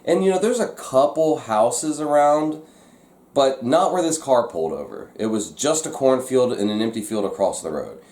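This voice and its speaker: A man's voice: mid-range voice, animated, normal speed, a little mellow. A man hosting a vlog, telling a story to viewers in a casual, conversational way. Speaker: vlog host. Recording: echoey, roomy sound.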